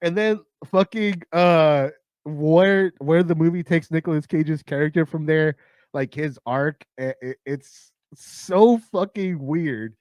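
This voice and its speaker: A man with a raspy, tired voice that sits fairly high for a man, talking through a film countdown in a loose, rambling way. He swears freely and keeps restarting his sentences. The sound is dry and close, with no room echo. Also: takes it slowly, darkish tone. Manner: profane, rambling, lots of restarts and fillers. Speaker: host of a movie countdown. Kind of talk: casual rambling film talk.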